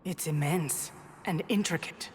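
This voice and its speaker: A woman's voice: sits low, measured pace. A woman playing an inquisitive, doubting alien councilor in a sci-fi video game. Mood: probing, doubtful. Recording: echoing chamber, faint ambient noise.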